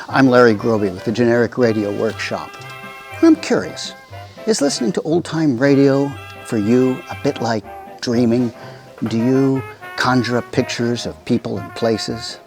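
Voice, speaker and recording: A man's voice: mid-range voice, a little mellow. A man hosting with a scripted radio introduction, speaking conversationally straight to the listener. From United States. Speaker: host.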